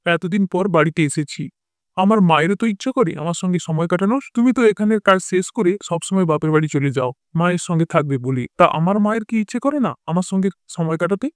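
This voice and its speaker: Fast, high-voiced stubborn husband, acted by a man. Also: dry recording, clean studio sound, close to an everyday voice. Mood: irritated. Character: stubborn husband devoted to his mother. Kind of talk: Bengali cartoon fairy-tale voice acting.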